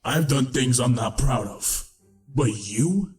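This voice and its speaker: Man playing an appalled, very deliberate changeling. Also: medium pitch, expressive, darkish tone, faint music behind. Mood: disgusted. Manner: over-enunciated, drawn-out, theatrical. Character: appalled, melodramatic male changeling creature. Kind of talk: audio-drama acting of a comedy story.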